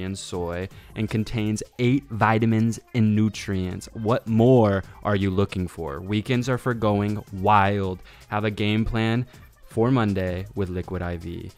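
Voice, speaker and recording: A man co-hosting a podcast, talking in an informal, slangy, conversational way. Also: fairly low pitch. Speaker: podcast co-host. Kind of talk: casual chat and ad reads.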